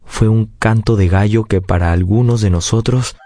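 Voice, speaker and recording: Man, literary narration, low pitch, warm dark tone, measured, reflective, dramatic radio storytelling.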